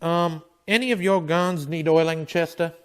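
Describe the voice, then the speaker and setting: A man's voice: a little high, steady tone, moderate pace, natural voice. A man playing a terse, weary Old West town marshal in a western radio drama, with a guarded, laconic way of talking. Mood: dry, steady.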